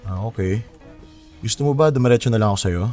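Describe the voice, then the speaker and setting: Man as low-voiced, earnest Filipino boyfriend. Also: quick pace, a little mellow, faint music behind, close to an everyday voice. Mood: concerned. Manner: earnest, casual, mixes English and Tagalog. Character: young man, earnest, attentive, smitten boyfriend. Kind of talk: Filipino romance audio drama acting.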